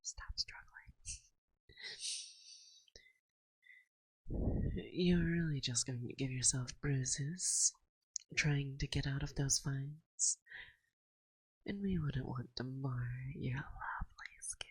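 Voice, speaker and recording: A woman playing a playful, dominant forest witch in an ASMR roleplay, speaking softly and breathily close to a binaural mic, often slipping into a whisper, teasing and coaxing. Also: very deep voice, husky, dry recording, exaggerated voice, low-quality recording. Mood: smug, teasing. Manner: slow, teasing, coaxing, with musing pauses. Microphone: close binaural microphone.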